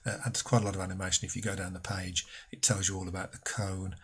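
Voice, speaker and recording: A man's voice: low voice, level tone, fast talker, fairly bright tone, a little hiss or hum. A man speaking as a physics teacher, explaining a school lesson patiently and informally, step by step.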